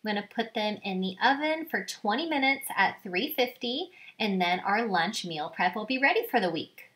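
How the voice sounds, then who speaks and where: A woman's voice: mid-range voice, lively intonation, normal speed, fairly bright tone. Young woman in an echoey kitchen. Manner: upbeat, plain explaining. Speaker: home cooking vlogger. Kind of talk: step-by-step cooking demo. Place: home kitchen, indoors. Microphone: far camera. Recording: echoey kitchen, faint hum.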